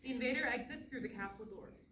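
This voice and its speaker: A woman's voice: on the high side, quick pace, sharp tone. A woman reading stage directions as scripted narration at a staged play reading. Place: indoor studio theatre. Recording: roomy, distant, clearly noisy pickup.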